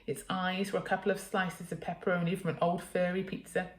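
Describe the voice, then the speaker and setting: A woman narrates a children's storybook in a fairly low voice. She reads dramatically, leaning on key words for young listeners. The room around her is noticeably echoey. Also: flat delivery, a little fast. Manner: dramatic, emphatic reading for children. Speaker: teacher reading a children's story aloud.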